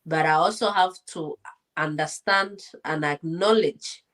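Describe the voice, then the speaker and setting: A woman from Congo with a somewhat low voice, speaking English as a Swahili speaker. She talks as an interview guest, and her tone is earnest and firm. She explains her views in a steady, reflective way. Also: measured pace. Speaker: interview guest, politician and former translator. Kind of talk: conversational interview.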